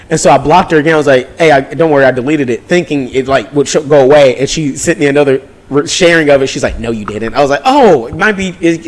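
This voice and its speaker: A man telling a casual podcast story as co-host, slangy and profane, acting out both sides of conversations. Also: mid-range voice. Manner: slangy, profane, animated retelling with acted-out dialogue.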